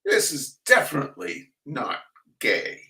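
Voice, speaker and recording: An older man hosting a reading of humorous essays, chatting casually and reading aloud in a wry, theatrical, halting manner. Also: on the high side, huge pitch swings, sharp tone. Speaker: host of a weekly humor reading. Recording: roomy echo, distant microphone.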